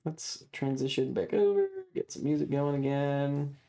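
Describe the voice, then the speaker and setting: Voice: medium pitch, wildly swooping pitch, moderate pace, creaky, mellow. Rambling game streamer, music playing behind. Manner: rambling, talks to self and chat. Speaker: streamer hosting a live stream. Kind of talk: casual chat while playing a video game.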